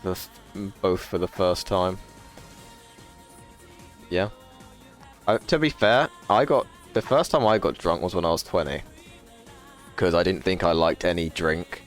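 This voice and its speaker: Low-voiced man, rambling stream chat. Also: measured pace, long pauses, faint music behind. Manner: rambling and profane, with frequent laughs. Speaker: livestreamer. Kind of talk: casual chat to a live audience.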